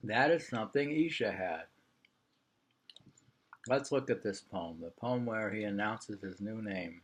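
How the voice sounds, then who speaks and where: A man's voice: on the low side, animated, unhurried, husky. A man reading an interview aloud in an informal way. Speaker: reader of a printed interview.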